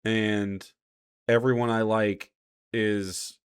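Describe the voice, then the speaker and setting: A man's voice: on the low side, flat delivery, drawn-out words, clean tone. A man doing casual live reaction commentary as a streamer, argumentative, sarcastic and given to swearing.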